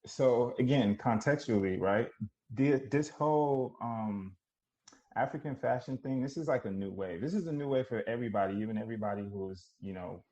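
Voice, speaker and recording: A man's voice: mid-range voice, moderate pace, low-quality recording. A man from Nigeria, a podcast guest, talking casually and reflectively with lots of fillers. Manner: relaxed, reflective storytelling with many fillers.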